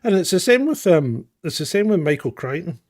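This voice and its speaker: Sex: male. Role: co-host. Pitch: slightly high. Sound: dry, close. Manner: chuckling, dry quips. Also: sing-song, brisk, a little mellow, dry recording. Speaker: podcast co-host. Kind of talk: casual live chat.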